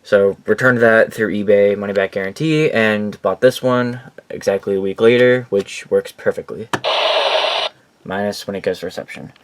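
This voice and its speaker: Male hobby vlogger, slightly low voice, informal unscripted delivery, faint background noise. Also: moderate pace, rough recording. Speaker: hobbyist collector presenter. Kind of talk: casual collection tour vlog.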